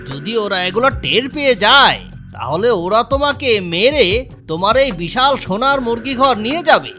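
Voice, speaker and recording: A performer plays a village elder in a children's cartoon. The delivery is theatrical and very animated in pitch, with music clearly behind it. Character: holy hermit with magic, and a scheming village elder.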